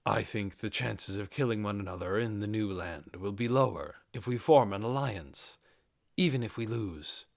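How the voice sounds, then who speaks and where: Man as a grave, dark-toned lizardman warrior. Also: fairly low pitch, creaky, dry recording, clean studio sound. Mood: earnest, persuasive. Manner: cold, firm, deliberate. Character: adult male lizardman warrior, grave, blunt, pragmatic.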